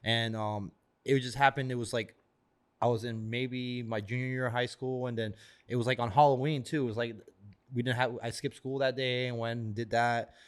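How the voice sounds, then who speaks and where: A man's voice: mid-range voice, steady tone, clean tone. A man in his thirties, raised in Florida, telling stories as a podcast guest in a rambling way, full of fillers and swearing. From Florida, born in Venezuela. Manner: rapid, rambling, heavy on "like" and swearing. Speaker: guest, tattoo artist. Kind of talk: casual storytelling.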